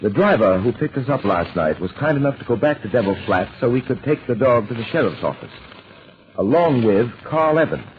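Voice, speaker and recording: An actor playing a cool, commanding gentleman adventurer in an old-time radio drama, brisk and polite. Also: medium pitch, big pitch swings, close to an everyday voice. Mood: composed, dry. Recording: noisy, phone-like old radio recording.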